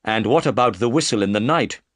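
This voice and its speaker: A man voicing a calm, shrewd male detective who speaks in a measured, firm way. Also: on the low side, dry recording, studio quality, natural voice.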